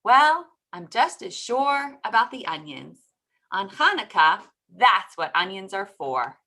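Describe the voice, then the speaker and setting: A woman's voice: medium pitch, sing-song, takes it slowly, pushed and bright, exaggerated voice. A woman storyteller voicing a wise male rabbi who makes solemn, sage-like pronouncements in a folk tale. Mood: sure, pronouncing.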